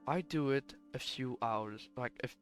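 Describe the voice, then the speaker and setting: A man's voice: mid-range voice, measured pace, faint music behind. A young man casually commenting on game weapons, rambling and correcting himself, his voice a bit worn and sleepy. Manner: rambling, self-correcting, offhand. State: voice worn from long recording, sleepy. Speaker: gaming commentator ranking weapons.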